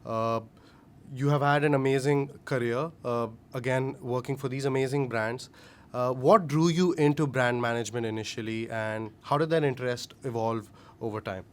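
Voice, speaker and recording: A man's voice: medium pitch, fairly even intonation, normal speed, smooth. A young man hosting a student podcast, reading a formal guest introduction with many filler sounds.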